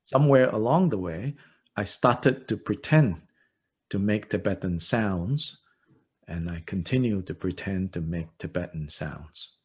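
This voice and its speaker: A lowish, husky man's voice with a Malaysian English accent, a dharma teacher in his fifties. He speaks in hesitant bursts full of "uh"s and slips in wry, self-deprecating jokes. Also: expressive, unhurried, a little mellow. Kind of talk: informal teaching and Q&A.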